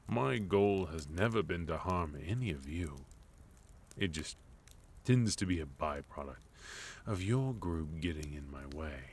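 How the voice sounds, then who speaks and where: An extremely deep-voiced villain played by a man speaks very slowly and deliberately, as if every phrase were weighed. He is sophisticated and courteous, with a soft menace under the composure. It is an intimate ASMR roleplay delivery over faint background noise. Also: lively intonation. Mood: courteous, probing. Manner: measured, courteous, softly menacing, deliberate pauses. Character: sophisticated, composed male villain, leader of enemy organization.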